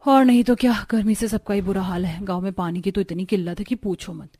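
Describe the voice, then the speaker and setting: A woman playing a young village daughter-in-law in a cartoon story, expressive and chatty. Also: medium pitch, quick pace, lightly acted. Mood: plaintive. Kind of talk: Hindi cartoon story dialogue.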